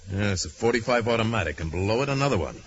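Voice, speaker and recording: A man playing a calm, shrewd detective hero with a measured, commanding delivery in a 1940s radio drama. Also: on the low side, slightly rough. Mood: calm, focused. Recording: muffled hissy old radio recording.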